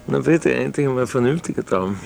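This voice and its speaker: A man playing a weary, patronizing late-night radio host in a radio drama, with an Austrian accent and a halting, distracted way of talking. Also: medium pitch, lively intonation, gravelly, darkish tone. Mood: impatient, polite. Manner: murmured interjections, halting, distracted.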